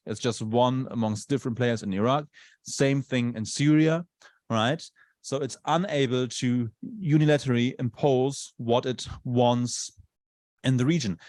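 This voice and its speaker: A man's voice: mid-range voice, darkish tone, dry recording. A male university lecturer who is not a native English speaker, giving a conversational lecture and often checking in with tag questions. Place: lecture room.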